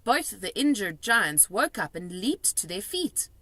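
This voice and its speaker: A woman reading a children's storybook aloud in an animated narrating style. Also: medium pitch, unhurried, no room sound. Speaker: storybook reader.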